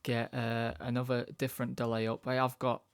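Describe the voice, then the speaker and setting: Low-voiced man with a gravelly, creaky edge. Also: completely flat delivery, fairly quick, no room sound. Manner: informal, thinking aloud as he works. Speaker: music production tutor. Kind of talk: casual software tutorial narration.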